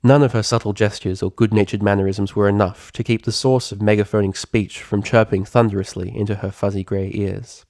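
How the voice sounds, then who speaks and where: A man reading a story aloud as narrator, in an expressive, theatrical storytelling manner. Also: fairly low pitch, fairly quick, hardly any pauses, no room sound, clean studio sound. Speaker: fan fiction reader, narrator.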